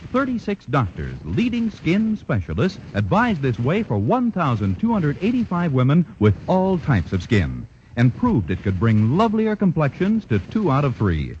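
A male announcer giving an emphatic sales pitch in a 1940s radio broadcast. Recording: lo-fi old radio broadcast with faint hiss.

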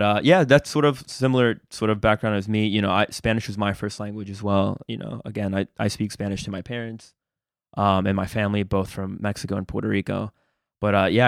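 A man's voice: fairly low pitch, vocal fry, dry recording, clean studio sound. A man hosting a podcast interview, chatting casually and hesitantly with many fillers and restarts. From United States. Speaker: podcast host.

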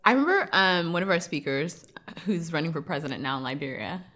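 A woman's voice: deep voice, expressive, brisk, pushed and bright. A woman talking candidly in a casual group conversation as a podcast guest, telling stories with slangy asides.

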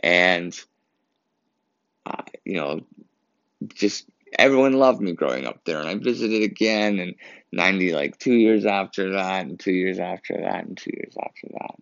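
A man's voice: sits low, normal speed, creaky, a bit bright, no room sound, muffled recording. A young Canadian man who wears braces, hosting his own podcast and rambling through a casual story. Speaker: podcast host. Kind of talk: casual solo podcast monologue.

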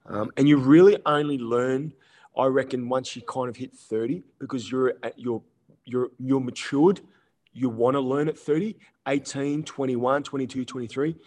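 A man's voice: mid-range voice, steady tone, normal speed, darkish tone. This is a man in his late thirties chatting on a podcast. He rambles through stories with lots of ums and easy laughter, in a medium voice. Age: 30s. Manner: laid-back storytelling with frequent ums and laughs. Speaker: guest. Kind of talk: casual podcast chat.

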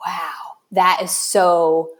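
A woman hosting a casual interview chat, thinking aloud in a halting way as she works toward her point. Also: fairly low pitch, flat delivery. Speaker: podcast host and writer.

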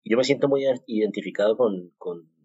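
Low husky Colombian-born man podcasting. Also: mellow, dry recording. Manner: halting, many fillers and repeated words. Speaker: podcast host. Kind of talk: casual devotional talk. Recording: muffled, dull home recording.

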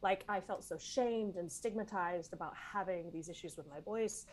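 A woman's voice: fairly low pitch, moderate pace, rough recording. A woman in her forties from East Texas, a vocal coach and former stage performer, telling her story in a chatty, candid way with many fillers. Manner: chatty, lots of fillers and restarts. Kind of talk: casual interview storytelling.

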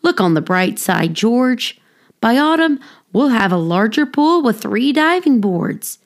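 A woman's voice: fairly high pitch, very animated, measured pace. A woman voicing a kindly grown man in a children's storybook, speaking patiently and reassuringly. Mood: upbeat. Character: kindly, reassuring grown man looking after a pet monkey.

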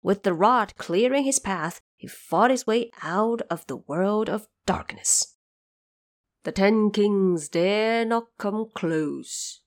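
A woman narrating a scripted audio drama in an expressive, deliberate storytelling manner, with explanatory asides. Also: medium pitch.

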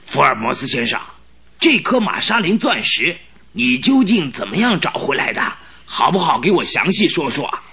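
An actor playing an excitable, crafty old duke in his seventies who stammers and exclaims in a radio play. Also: a little high, normal speed, heavily acted. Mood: grateful, curious. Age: 70s. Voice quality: flustered, full of exclamations and stammering. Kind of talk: 1970s Chinese radio drama acting.

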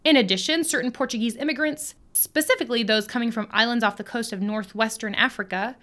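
An American woman co-hosting a podcast, reading prepared history facts in a clear, upbeat way. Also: fairly high pitch, quick pace, a bit bright. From United States. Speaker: podcast co-host. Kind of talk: scripted podcast narration.

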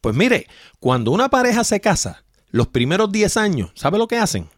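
A man's voice: high voice, big pitch swings, moderate pace, no echo, clean studio sound. A man with a Puerto Rican accent hosting a solo opinion show, talking straight to his listeners and often asking them rhetorical questions. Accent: Puerto Rican Spanish. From Puerto Rico. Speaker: podcast host.

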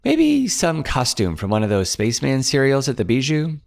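A man's voice: medium pitch, wildly swooping pitch, moderate pace, creaky, pitched above the performer's own voice. A man voicing a chatty, gossipy young female secretary.

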